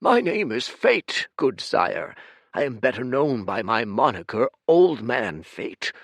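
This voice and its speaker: Storybook old man, humble and formal, talks up high with courtly politeness, voiced by a male narrator. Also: sing-song, slow, a bit bright, no room sound, exaggerated voice. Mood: courteous.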